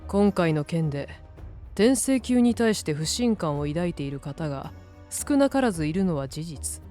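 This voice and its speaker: A woman's voice: fairly low pitch, expressive, normal speed, warm tone, faint music behind, close to an everyday voice. A woman playing a proud, insecure young male knight-keeper in a fantasy drama, formal and defensive. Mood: resolute. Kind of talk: dramatic scripted voice acting.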